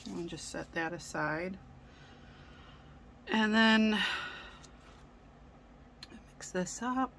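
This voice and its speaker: A woman hosting a casual craft tutorial, speaking gently with frequent ums, her voice a little raspy from a sore throat. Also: mid-range voice, very slow, long pauses, a little hiss or hum. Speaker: craft channel host.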